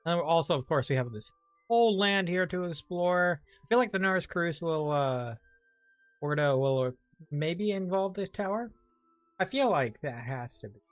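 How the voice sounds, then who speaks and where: Guy streaming a game, kinda high voice, rambles as he thinks out loud, faint music behind. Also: expressive, moderate pace, dry recording. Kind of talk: casual let's play commentary.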